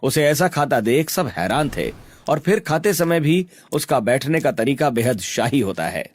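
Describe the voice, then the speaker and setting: A man's voice: medium pitch, very animated, unhurried. A man narrating a scripted cartoon story in Hindi as its storyteller.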